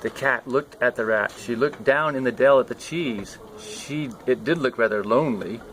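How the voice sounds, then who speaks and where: An older man with a gravelly voice reading a children's storybook aloud outdoors. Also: medium pitch, moderate pace, a bit bright, low-quality recording. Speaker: storybook reader, grandfather. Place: outdoors at a scenic lake. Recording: outdoor ambient noise behind.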